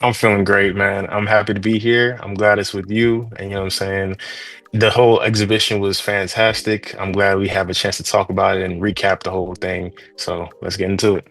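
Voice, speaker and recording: A young man from Trinidad, now a 3D artist, talking about his path in a relaxed, rambling interview manner. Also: low-pitched, a little fast, creaky, no echo. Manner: laid-back, rambling, slangy. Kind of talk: casual interview chat.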